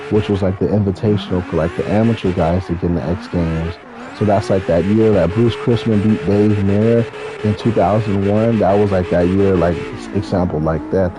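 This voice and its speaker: A man, telling stories in a casual, rambling, slangy way with some swearing. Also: sits low. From California, United States. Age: 30s. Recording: noisy, with car engine sounds behind. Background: racing game car engines.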